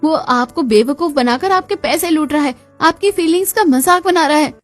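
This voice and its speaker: A sarcastic, defiant young cartoon daughter-in-law, voiced by a woman, dramatic and mock-sweet. Her voice is fairly high, with faint music behind. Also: moderate pace, lightly acted. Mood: pleading.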